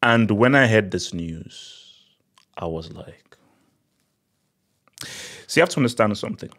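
Thirties Nigerian-accented male commentator; opinionated, sarcastic, animated; small-studio desk-mic sound. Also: fairly low pitch, a little slow. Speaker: political commentary vlogger. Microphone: desk mic on boom arm.

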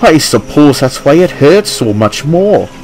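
A man's voice: fairly high pitch, sing-song, measured pace, faint music behind, voice pushed up, low-quality recording. Man doing a wise old steam engine. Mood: wistful, fond. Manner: gentle, wistful, fond.

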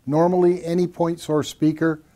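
An older man co-presenting a technical explanation in a measured, didactic way, repeating key phrases. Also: fairly high pitch, flat delivery, mellow. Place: small acoustically treated home theater room.

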